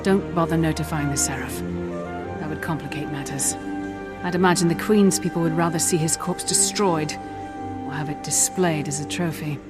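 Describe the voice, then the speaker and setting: A woman voicing a serious, principled commander in a video game, earnest and measured. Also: deep voice, close to an everyday voice. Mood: firm, cynical. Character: serious, principled commander hero, firm but compassionate. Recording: game music underneath.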